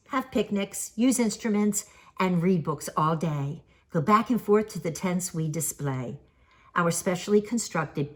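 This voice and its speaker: An older woman reads a children's story aloud. Her voice sits a little low but moves up and down with lots of animation. The delivery is theatrical and sing-song, leaning hard on each question and answer. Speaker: author reading her picture book aloud.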